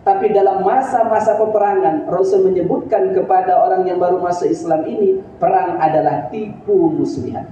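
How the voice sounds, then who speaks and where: A man in his thirties delivers a Friday sermon as an earnest storyteller, pushing his voice up for emphasis. His slightly high, very dark and mellow tone sits far from the mic in a very echoey room. The webcam sound is dull and lo-fi, with a faint hiss. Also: normal speed, hardly any pauses. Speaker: Friday preacher. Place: room in front of a green-screen backdrop.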